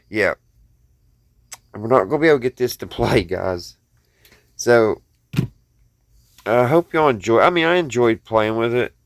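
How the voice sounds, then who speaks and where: A man's voice: lowish voice, fairly bright tone, dry recording, clean studio sound. An American man talking casually to viewers in a folksy, rambling show-and-tell, thinking aloud as he goes. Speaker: hobbyist video host.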